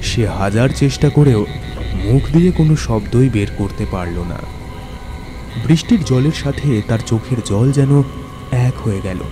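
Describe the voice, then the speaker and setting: A man narrating a horror story in a dramatic, scripted storytelling style. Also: mid-range voice. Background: music.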